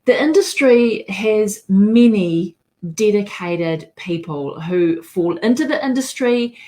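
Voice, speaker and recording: A woman's voice: mid-range voice, lively intonation, slow, mellow. A woman with a New Zealand accent hosting a live interview show, speaking in a welcoming way. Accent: New Zealand English. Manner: welcoming, opens in te reo Māori. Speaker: host.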